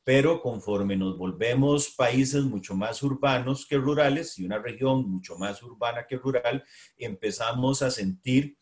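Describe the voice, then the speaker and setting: A man's voice: medium pitch, unhurried, darkish tone. Hesitant Costa Rican man lecturing. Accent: Costa Rican Spanish. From Costa Rica. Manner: hesitant, many fillers and restarts. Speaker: university lecturer. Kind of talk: academic lecture.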